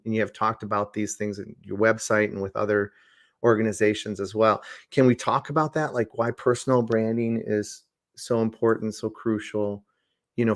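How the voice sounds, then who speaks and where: A man hosts a business podcast, feeling his way through questions with restarts and filler words. His voice sits a little low. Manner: hesitant, many restarts and fillers. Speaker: podcast host. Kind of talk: interview host.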